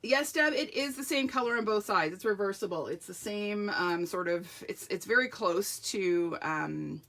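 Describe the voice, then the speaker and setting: Croaky-voiced woman demoing card crafts. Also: medium pitch, animated, normal speed, fairly bright tone. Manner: chatty, self-deprecating, talks while working with hands. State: croaky sore throat. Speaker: card-making demonstrator. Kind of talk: live craft tutorial with viewer shout-outs.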